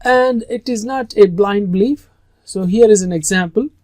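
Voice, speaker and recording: A man's voice: high voice, normal speed, a little mellow, dry recording, studio quality. Earnest male Arabic teacher, Urdu native. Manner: earnest, emphatic, stresses key words. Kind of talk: recorded lesson lecture.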